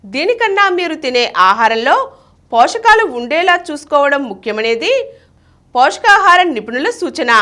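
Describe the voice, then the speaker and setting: A woman's voice: sits high, sharp tone. A woman reading a scripted news bulletin as a news reader.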